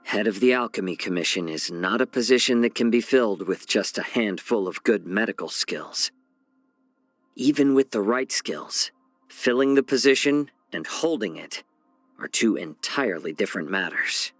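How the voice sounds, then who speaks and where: An actor playing a calm, wry, courteous male healer and strategist in a video game, with a measured, genteel, gently teasing delivery. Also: fairly low pitch, no room sound, soft background music. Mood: reflective, measured. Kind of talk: scripted video game character voice lines.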